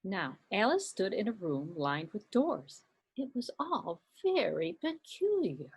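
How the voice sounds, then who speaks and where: Animated, breathy storyteller woman. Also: medium pitch. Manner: animated, slow and expressive storytelling. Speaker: children's librarian, puppeteer. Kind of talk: storytelling puppet show for kids.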